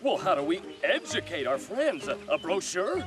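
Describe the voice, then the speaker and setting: A high-pitched cartoon jungle animal, sly and easygoing. The pitch swings wildly in a knowing delivery, with loud music behind. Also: male voice, very animated, moderate pace. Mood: puzzled.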